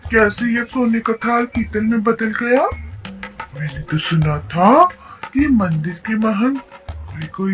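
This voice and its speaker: A man's voice: high-pitched, sharp tone, exaggerated voice. A man voicing devout village temple-goers who gossip and exclaim in a cartoon folk tale.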